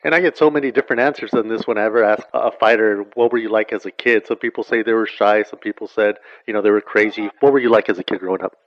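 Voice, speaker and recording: A male interview host talks quickly through rambling questions. His voice sits at a middle pitch. It comes across dry and slightly muffled, with no room sound. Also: dry recording. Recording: dull, dry low-fidelity sound.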